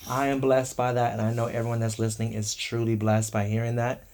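A man's voice: mid-range voice, little pitch movement, fast, a little mellow. A man hosting a devotional podcast, speaking affectionately and encouragingly and often repeating his phrases. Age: adult, parent of three. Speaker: podcast host. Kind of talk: encouraging devotional talk with children.

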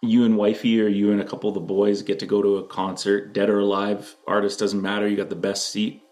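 A man with a low-pitched voice hosts a sports podcast. He asks questions casually and jokingly, in loose, slangy language. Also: completely flat delivery, fairly quick, a little mellow. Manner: informal, jokey, slangy. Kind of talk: casual interview chat.

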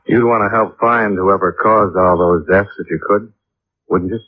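An actor playing a sober, businesslike investigator in a hardboiled vintage radio drama, with a clipped, matter-of-fact delivery. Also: male voice, deep voice, fairly even intonation, normal speed, natural voice. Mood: gently probing. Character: sober, businesslike male investigator narrating his case. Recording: muffled old radio broadcast.

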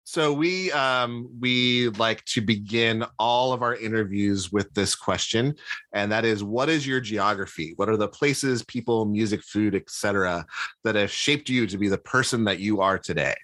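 Man, podcast host, lower-pitched, conversational interviewing, very little room sound. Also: normal speed, fairly bright tone, no echo.

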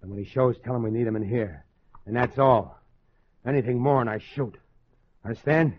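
An actor playing a terse, commanding, scheming crook in a 1940s radio suspense drama. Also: male voice, medium pitch, normal speed, natural voice. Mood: cold, commanding. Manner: terse, commanding, tough-talking. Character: hard-boiled cold scheming male crook and husband. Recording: dull, noisy old radio recording.

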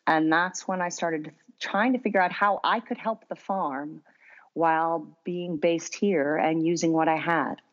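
An American woman with a low voice tells her story as a podcast guest. She is friendly and chatty and laughs easily. The sound is a little dull. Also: steady tone, measured pace. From United States. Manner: friendly storyteller, laughs often, some fillers. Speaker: guest, winery founder. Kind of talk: interview conversation.

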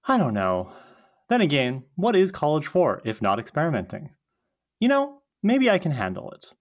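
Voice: mid-range voice, huge pitch swings, moderate pace. A performer reading an eager, earnest young woman who dabbles in witchcraft, chatty, in a script table read.

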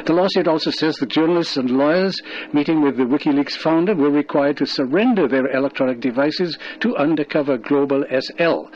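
Muffled radio newsreader, a higher-voiced man. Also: darkish tone. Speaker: radio news reader. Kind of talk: scripted news narration. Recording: muffled shortwave radio, faint noise.

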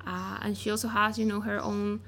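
Gravelly woman with a Mexican accent. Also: medium pitch, little pitch movement, brisk, a bit bright, a little hiss or hum. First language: Spanish. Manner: reflective, searching for words. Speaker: guest. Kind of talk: casual interview conversation.

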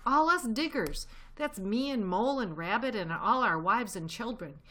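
Storybook badger, played by a woman: anxious digger dad, excitable, raised pitch, agitated exclamations. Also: moderate pace. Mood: alarmed.

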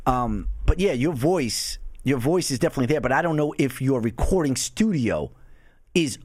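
A man's voice: medium pitch, lively intonation, normal speed, a little mellow, no room sound. A man hosting a podcast, giving casual, frank feedback in a rambling way. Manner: rambling, frank, restarts often. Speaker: podcast host.

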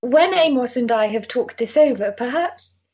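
Actress as a breathy, anxious Victorian lady. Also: fairly high pitch, lively intonation, normal speed, a little mellow, highs cut off. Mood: anxious, tender. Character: gentle, anxious, respectable Victorian lady friend. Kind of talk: period stage-play acting.

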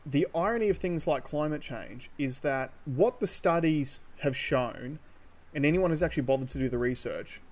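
Emphatic man, slightly higher voice. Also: normal speed. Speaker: podcast co-host. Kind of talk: opinionated casual discussion.

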